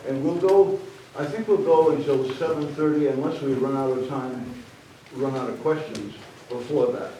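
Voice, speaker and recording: A man telling a folksy story and moderating a town candidates night, with halting restarts. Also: mid-range voice, mellow, slight background noise. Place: town meeting hall. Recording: very echoey hall, distant mic.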